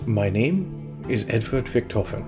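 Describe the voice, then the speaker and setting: An actor playing a sinister, brooding scientist who narrates in a measured, theatrical and wryly menacing way. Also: male voice, lowish voice, lively intonation. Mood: solemn. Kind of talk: dramatic scripted narration. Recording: music bed behind the voice.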